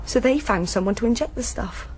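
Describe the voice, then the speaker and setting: A woman's voice: on the high side, animated, brisk, a little hiss or hum, lightly acted. An actress playing a plain-spoken young woman in a radio drama, giving her account with some anxiety. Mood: subdued recounting.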